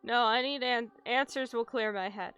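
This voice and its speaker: A woman livestreamer reacting casually to a game, speaking in a halting, emotional way. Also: on the high side, a bit bright, clear, resonant tone, lo-fi sound. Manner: halting, emotional, swears freely. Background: game music.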